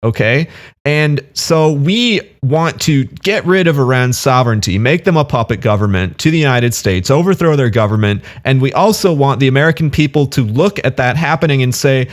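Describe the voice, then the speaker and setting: A man's voice: mid-range voice, expressive, moderate pace. A man giving casual, argumentative reaction commentary on a video. He is dismissive and keeps circling back with fillers. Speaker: online commentator.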